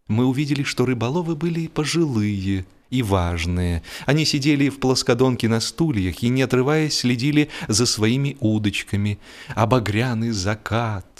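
A man narrating a humorous literary story in a theatrical, mock-lofty manner, with expressive phrasing and deliberate pauses. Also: medium pitch. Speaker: audiobook narrator. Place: studio. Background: music.

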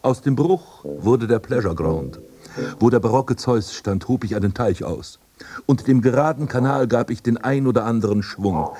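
An actor playing a proud, defiant blinded prince and landscape gardener in a literary radio play, declamatory and haughty. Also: male voice, mid-range voice, lively intonation, normal speed, dark tone, natural voice. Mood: proud, reminiscing.

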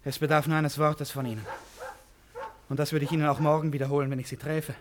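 A man's voice: a little high, flat delivery, fast, slight background noise, close to an everyday voice. A man in a German radio play acting a tormented, lovesick eccentric with an intense, erratic, theatrical manner. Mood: pleading. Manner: theatrical, erratic, intense, swinging between outbursts and whispers. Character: tormented, eccentric, obsessively lovesick male stranger.

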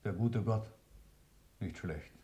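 An actor playing an old accused man in a radio play, cryptic and proud, speaking in a measured, ironic, superior way. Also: on the low side, dark tone. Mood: ironic, guarded. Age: older. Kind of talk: German radio play acting.

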